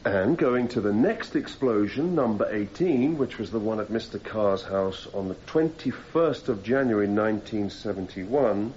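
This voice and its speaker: An actor playing a persistent, formal defence barrister cross-examining a witness in a radio courtroom drama, putting methodical leading questions. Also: male voice, lowish voice, a little mellow. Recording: slightly muffled, noisy radio drama recording.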